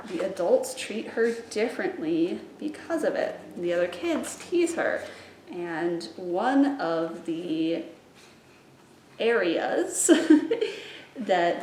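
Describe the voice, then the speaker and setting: Woman in an echoey room, unhurried book talk. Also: fairly low pitch. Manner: enthusiastic, expressive. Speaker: children's librarian and video host. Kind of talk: casual book recommendation talk to camera. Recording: echoey room with faint background noise.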